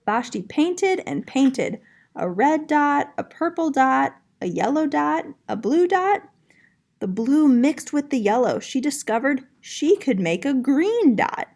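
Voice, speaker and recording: A woman's voice: sits high, sing-song, takes it slowly, a little mellow. A woman, an elementary art teacher, speaking encouragingly to young children in a storybook read-aloud style.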